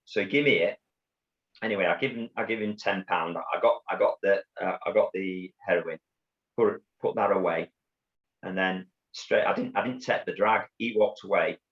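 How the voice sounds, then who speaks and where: A middle-aged man with a Yorkshire accent, telling his story as an interview guest in a halting way, with many restarts and repeated words. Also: lowish voice. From Bradford, England. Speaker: guest, former undercover police officer. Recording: dull lo-fi audio.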